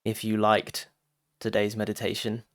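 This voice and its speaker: A man giving a guided meditation talk as a teacher, phrasing deliberately with frequent pauses and addressing listeners directly. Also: on the low side, completely flat delivery, no echo, studio quality.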